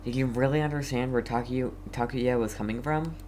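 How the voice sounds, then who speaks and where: A streamer giving casual gameplay commentary in a hesitant, low-key way, talking back to the game's characters. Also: fairly even intonation, fairly quick, darkish tone. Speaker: game streamer.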